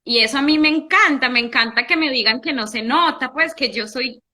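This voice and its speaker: A young woman from Italy, a guest in a casual interview, telling her story in an animated way with many restarts. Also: sits high, brisk, slight background noise. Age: young adult.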